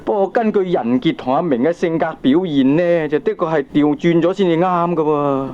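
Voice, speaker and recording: A doubting, aggrieved father played by a man speaks measured, questioning Hong Kong Cantonese. His voice sits fairly high. It is comic radio acting with a dull cassette sound. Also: lightly acted. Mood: polite, doubting. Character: doubting, polite but persistent aggrieved father. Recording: dull 1980s audio cassette recording.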